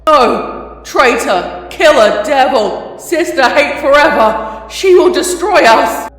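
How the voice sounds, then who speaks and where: A man's voice: very high voice, animated, slow, fairly bright tone, very echoey, big-room sound, voice pushed up, exaggerated voice. A man voicing a raging, fanatical female monster spirit that screams and snarls in an audiobook reading. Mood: screaming rage. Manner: screaming, snarling, then pleading whine. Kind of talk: fanfic audiobook character voice.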